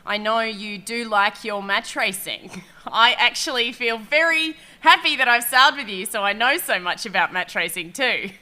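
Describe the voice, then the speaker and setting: A woman's voice: a little high, moderate pace, sharp tone. A woman hosting a chat show about sailing, upbeat and chatty, with an Australian accent. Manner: upbeat, chatty, laughs easily. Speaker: host. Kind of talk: casual chat and race commentary.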